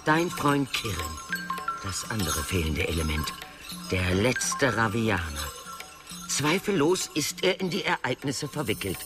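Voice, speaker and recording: An actor playing a grave, authoritative ruler in a fantasy radio drama, speaking in a measured, portentous and commanding manner. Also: male voice, mid-range voice, very animated. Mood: solemn. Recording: loud music under the voice.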